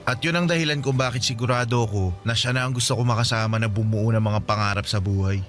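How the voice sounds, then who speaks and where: A polite, smitten young man talks respectfully with his mother, played by an actor. His voice is medium in pitch and courteous, with faint music under the radio drama scene. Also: fast talker, a bit bright, close to an everyday voice. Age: young adult. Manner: polite and respectful, uses honorifics. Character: polite young man in love, devoted son.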